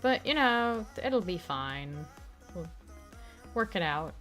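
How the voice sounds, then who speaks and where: Woman, very low voice, slightly raspy throat, rambling casual stream chat, soft music behind. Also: very animated, takes it slowly. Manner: rambling, full of fillers and restarts. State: throat still recovering from illness, slightly raspy. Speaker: streamer and audio creator.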